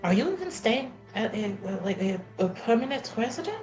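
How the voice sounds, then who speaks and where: A woman chats as a player in a tabletop roleplaying game. Her voice sits fairly high and swings freely up and down. The talk is improvised and casual, with faint music underneath. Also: very animated, a little fast, darkish tone.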